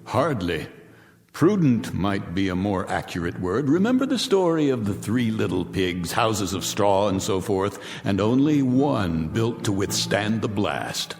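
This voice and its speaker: Smug, vengeful tycoon, wildly expressive. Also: male voice, lowish voice, measured pace, vocal fry, heavily acted. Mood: menacing, gloating. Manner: theatrical, sardonic, formal diction. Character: vengeful, smug millionaire relishing power over his captives. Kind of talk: radio drama acting. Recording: reverberant hall, music underneath.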